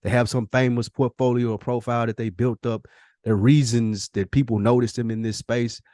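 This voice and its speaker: A man's voice: medium pitch, fairly even intonation, fairly quick, mellow, no room sound. Emphatic middle-aged man, dry close recording. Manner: emphatic, repeats phrases, profane slang. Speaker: podcast co-host. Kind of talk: casual motivational podcast talk.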